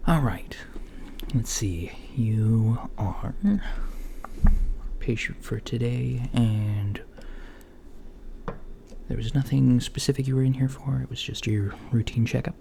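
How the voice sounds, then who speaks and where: A man playing a mild, puzzled alien doctor in a soft, breathy, often whispered ASMR roleplay, with hesitant, gently deadpan murmuring. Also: fairly low pitch. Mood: calm, professional. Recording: lo-fi home recording, faint background noise.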